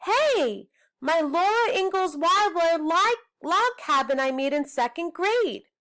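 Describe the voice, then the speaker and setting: A woman's voice: extremely high pitch, expressive, slow pace, a bit bright, voice pushed up, heavily acted. A woman reading a storybook as an indignant, bossy young girl with an exasperated, preachy manner. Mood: dismayed.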